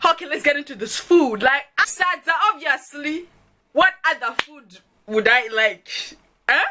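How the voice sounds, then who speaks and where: A woman's voice: high-pitched, animated, measured pace, bright, forceful tone, slight background noise. A young woman from Zimbabwe with a Zimbabwean accent, chatting playfully to her viewers in a vlog and laughing often. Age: young adult. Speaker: YouTuber. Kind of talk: get-to-know-me vlog.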